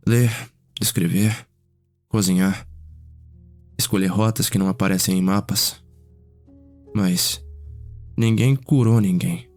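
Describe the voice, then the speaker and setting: A man performs a reflective male vampire in an ASMR story, speaking with a Brazilian accent. His voice is low and his telling measured and courteous, over faint music. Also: a little mellow, no echo. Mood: tender, reflective.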